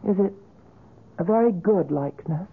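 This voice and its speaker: A woman in a 1970s radio drama playing a fragile, polite, distressed visitor, speaking in a breathy, halting way. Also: fairly low pitch, lively intonation, exaggerated voice. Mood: subdued, polite. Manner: halting, hesitant. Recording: tinny, narrow-band old radio broadcast.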